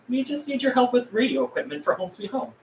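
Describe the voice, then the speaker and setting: A woman's voice: a little high, sing-song, brisk, a little mellow. A woman playing a wary, blunt survivor who questions and negotiates, in a film script table read. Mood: wry. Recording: roomy, echoey with room noise.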